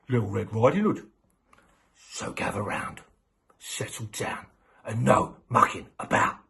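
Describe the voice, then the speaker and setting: A man's voice: fairly low pitch, creaky, breathy edge. A man telling a comic bedtime story in a cheeky, slangy way, often half-whispering. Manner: cheeky, slangy, deadpan asides.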